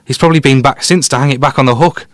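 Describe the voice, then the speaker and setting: A man's voice: medium pitch, racing, dry recording, lightly acted. Man playing a confident male detective, dry close sound. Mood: confident, persuasive. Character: confident, quick-witted male amateur detective explaining his deductions. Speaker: podcast guest detective. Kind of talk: conversational audio drama dialogue.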